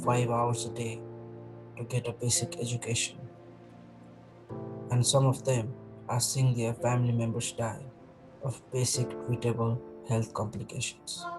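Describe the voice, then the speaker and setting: Nepali accent guy hosting a podcast, measured and earnest, middle pitch, music behind him. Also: poor audio. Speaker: podcast host. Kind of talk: scripted intro then interview.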